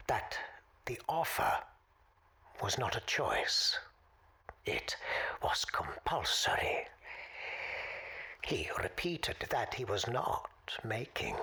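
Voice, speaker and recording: A woman playing an elderly, weary, wily former spy in an audio drama, with a hoarse, half-whispered rasp and formal, deliberate storytelling. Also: extremely low pitch, bright, forceful tone, heavily acted. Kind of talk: dramatic monologue in audio fiction.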